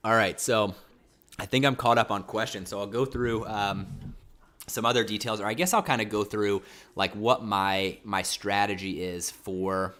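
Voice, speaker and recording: A man with a very low voice and a bright, forceful edge, talking casually to viewers on a livestream. He rambles and corrects himself as he goes. A mild cold leaves his throat a little sore. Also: normal speed. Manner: rambling, self-correcting, chatty. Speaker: livestream host.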